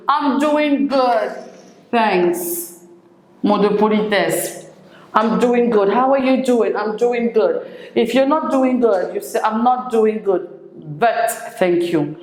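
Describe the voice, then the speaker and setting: A woman's voice: medium pitch, a little mellow. A woman teaching an English lesson, repeating each phrase and translating it into French. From Senegal. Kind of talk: classroom lesson, switching between English and French. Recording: echoey room.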